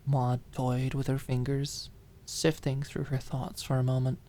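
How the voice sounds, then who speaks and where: Woman reading an asmr audiobook drama, expressive, takes care over each phrase. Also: a bass voice, measured pace, slight background noise. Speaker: audiobook narrator and voice actor. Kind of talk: dramatic scripted fiction narration, ASMR audiobook.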